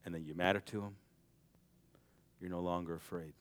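A young adult man preaching a sermon, swinging from loud, shouted exhortation to quiet, intimate passages. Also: deep voice, fairly even intonation, normal speed.

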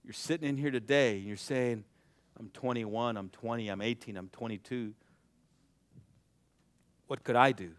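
A young adult man preaching a sermon, swinging from loud, shouted exhortation to quiet, intimate passages. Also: mid-range voice, normal speed, long pauses, no echo.

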